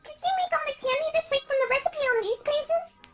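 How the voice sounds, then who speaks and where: An excitable cartoon pony in a fan-made audio drama. Her pitch is extremely high, almost childlike, and her delivery is emphatic and dramatic. Loud music plays behind her. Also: a little fast. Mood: urgent, serious. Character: excitable female cartoon pony, hurt and urgent.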